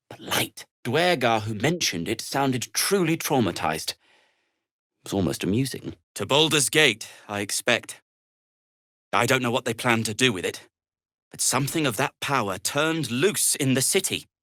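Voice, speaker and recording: A male deep gnome tinkerer from a fantasy video game, an earnest curmudgeon with a worried streak. He speaks in a formal, wordy and dry manner at a middling pitch that rises and falls expressively. The recording is studio-dry with no room sound. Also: bright, forceful tone, no room sound, exaggerated voice. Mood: grave, worried. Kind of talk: video game character dialogue.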